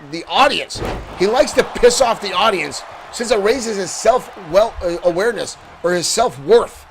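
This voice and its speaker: A man doing excited play-by-play commentary for a digital wrestling show, shouting at big moments and losing his voice. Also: high-pitched, creaky, noisy background.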